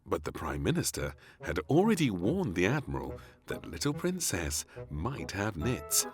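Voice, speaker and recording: A man narrating a scripted children's story in an expressive, theatrical manner. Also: deep voice, normal speed.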